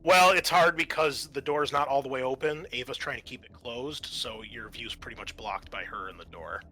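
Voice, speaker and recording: Bright-voiced man running a tabletop game. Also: mid-range voice, moderate pace. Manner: conversational, explanatory, improvised narration. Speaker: game master. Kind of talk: running a tabletop roleplaying game, explaining turns and narrating.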